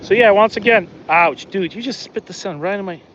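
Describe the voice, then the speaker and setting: Man, high voice, chatty, talks to the fish, outdoors on a beach, phone mic, slightly muffled, faint wind. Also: brisk, a bit bright. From Soviet Union. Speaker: fishing livestream host. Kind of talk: casual live commentary while fishing.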